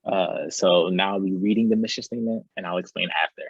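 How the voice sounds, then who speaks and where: A man's voice: low voice, steady tone, fairly quick, breathy edge, no echo. A young man from New York presenting to a video call in a rambling, casual way full of fillers. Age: young adult. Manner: rambling, filler-heavy, laid-back. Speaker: student presenter. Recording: muffled dry video call audio.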